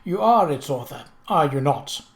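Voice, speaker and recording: A narrator voicing an imperious, mocking British prince in an audiobook, pompous and theatrical, with deliberate, drawn-out emphasis. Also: medium pitch, animated, echoey room, lightly acted. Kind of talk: audiobook character voice.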